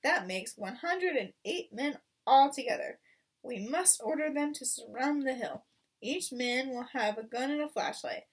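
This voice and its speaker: A hostile, scheming farmer from a children's story, acted by a woman reader. He taunts and commands with boastful confidence. The voice is on the high side and somewhat distant from the mic. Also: expressive, measured pace, slightly rough, full character voice. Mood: commanding.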